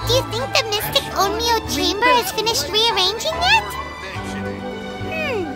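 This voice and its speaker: A woman's voice: extremely high pitch, animated, unhurried, sharp tone, faint music behind, full character voice. A woman voicing a tiny, chatty, cheeky childlike companion in a video game. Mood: curious chatty. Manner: refers to self in third person, chirpy.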